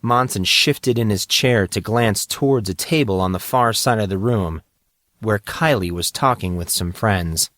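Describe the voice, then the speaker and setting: A man's voice: on the low side, a little slow, fairly bright tone, no echo, studio quality. A man narrating a scripted fiction story as its narrator.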